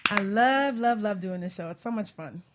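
A woman co-hosting a radio talk show, chatting casually in a playful, excitable, giggly manner. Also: mid-range voice, normal speed, very noisy.

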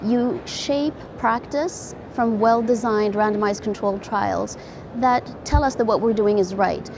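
A woman's voice: medium pitch, unhurried. A woman cardiologist as an interview guest, explaining things earnestly in a conversational way. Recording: clearly noisy conference background.

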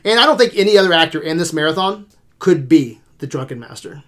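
A man's voice: on the high side, fast talker. A man co-hosting a podcast in casual chat, easygoing and peppering his talk with "man". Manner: relaxed, says "man" and "yeah" often. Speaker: podcast co-host.

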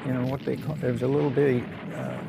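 Gravelly elderly man recalling wartime. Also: medium pitch, flat delivery, fast talker, noisy background. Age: 80s or older. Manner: rambling, plain-spoken storytelling. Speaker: war veteran recalling his service. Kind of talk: unscripted oral-history reminiscence.

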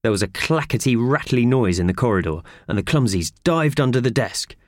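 A man's voice: medium pitch, animated, a little fast, no echo, studio quality. A man reading a children's story as a scripted audiobook narration.

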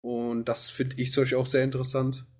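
A man's voice: medium pitch, monotone, brisk, a little mellow. Rambling man chatting on a podcast. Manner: rambling, many fillers and restarts. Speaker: podcast co-host. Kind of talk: casual chat about anime.

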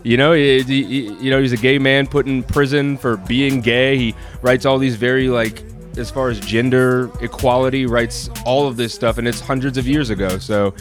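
A man's voice: mid-range voice, fairly even intonation, normal speed. A man in his thirties, a stage actor from New Orleans, chats about his career in an earnest, articulate way. Faint music sits under his voice. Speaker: guest actor. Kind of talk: casual chat.

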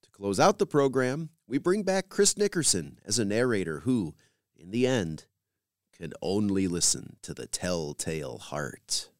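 A man hosting a podcast, reading scripted links in a measured, announcer-like way. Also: lowish voice, sing-song, no room sound, clean studio sound. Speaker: podcast host. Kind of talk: scripted podcast host narration.

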